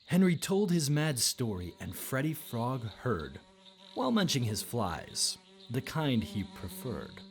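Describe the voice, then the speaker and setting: A woman's voice: extremely low pitch, sing-song, a little slow. A woman narrating a rhyming children's storybook as a scripted read-aloud.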